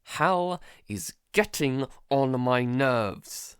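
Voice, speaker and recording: A man playing a frightened, traumatised survivor in a halting, dramatic audio-drama monologue. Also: mid-range voice, animated, background noise. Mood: irritated, defensive. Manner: halting, stammering, broken by sighs. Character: frightened, traumatised male survivor keeping a monster-apocalypse audio diary.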